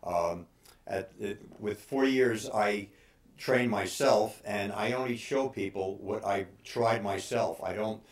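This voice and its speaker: An older man in his sixties speaks in a fairly low voice with a halting, hesitant delivery. He gives informal training advice, pausing often as he goes. The room around him adds a clear echo. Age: 60s. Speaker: bodybuilder and personal trainer. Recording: roomy, echoing room sound.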